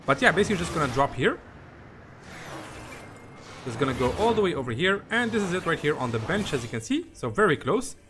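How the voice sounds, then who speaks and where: A man's voice: a little high, sing-song, fairly quick, long pauses, noisy background. A man giving a casual, rambling game walkthrough, often repeating himself in a conversational way. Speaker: gaming video host.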